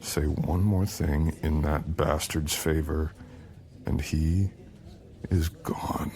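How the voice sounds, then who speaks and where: A man playing a menacing, possessive supernatural boyfriend in a romantic ASMR roleplay, speaking in an intimate, brooding and often breathy way. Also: steady tone, darkish tone, full character voice. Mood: jealous menace. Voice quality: deep, gravelly, often breathy and whispered. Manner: slow, brooding, teasing intimate delivery.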